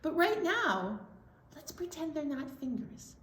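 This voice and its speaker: A woman leads a children's story time with playful, simple instructions. Her voice is on the high side and very animated, at an easy, slowish pace, in an echoey room. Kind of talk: interactive storytime, addressing young children. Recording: echoey room, distant mic.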